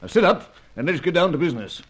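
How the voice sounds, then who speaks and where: An actor playing a courteous but firm upper-class spymaster with a British accent, formal and exclamatory in old radio serial style. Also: male voice, a little high, big pitch swings, fast talker, slightly rough. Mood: politely stern. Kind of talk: old-time radio adventure serial acting.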